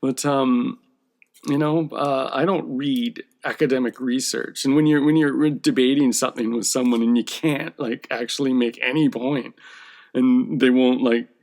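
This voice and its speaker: A man's voice: medium pitch, fairly even intonation, normal speed, a little mellow. A man who draws comic books, talking in a casual, rambling monologue that often checks in with "okay?". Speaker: comic book artist.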